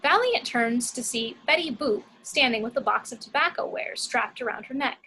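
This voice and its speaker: A woman's voice: on the high side, animated, normal speed, bright, forceful tone, slightly rough, poor audio. A woman reading scripted action descriptions as narrator in an amateur screenplay table read.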